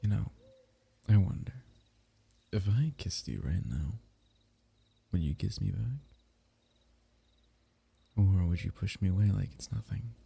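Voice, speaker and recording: Low, gravelly-voiced man, intimate. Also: measured pace, long pauses. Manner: soft, intimate, teasing, drifting into whispers. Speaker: ASMR roleplay performer. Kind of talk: intimate ASMR roleplay.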